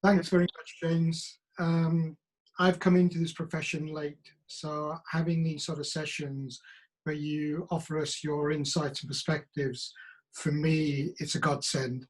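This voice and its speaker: High-voiced older Welsh man. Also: level tone, measured pace, low-quality recording. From South Wales. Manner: polite, earnest, talkative. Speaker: caller asking questions. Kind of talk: online Q&A question.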